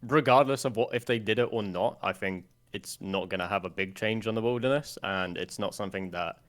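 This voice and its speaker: Swedish man with a low voice. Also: brisk. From Sweden. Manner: relaxed, thinks aloud with fillers. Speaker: guest. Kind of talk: casual podcast chat.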